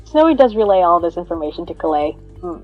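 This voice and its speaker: A woman's voice: on the low side, very animated, fast talker. Woman playing a tabletop game, slightly dull audio. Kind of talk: casual tabletop roleplay. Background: music.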